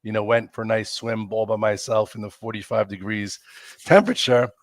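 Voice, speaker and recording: A man's voice: on the low side, fairly even intonation, a little fast, no room sound. A man, congested and losing his voice, hosting a chatty, rambling live stock market show with lots of fillers. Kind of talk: casual live market commentary.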